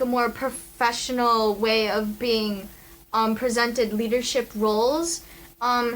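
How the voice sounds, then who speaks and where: A teenage student in a leadership role, speaking earnestly and haltingly in an interview. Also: mid-range voice, fairly bright tone, poor audio. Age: teens. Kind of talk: casual interview.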